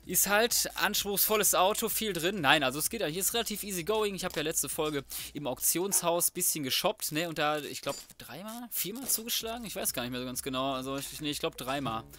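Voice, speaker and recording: A man's voice: a little high, lively intonation, a little fast, hardly any pauses, sharp tone. A man giving casual, colloquial let's play commentary, muttering to himself as he goes. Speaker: gaming video host.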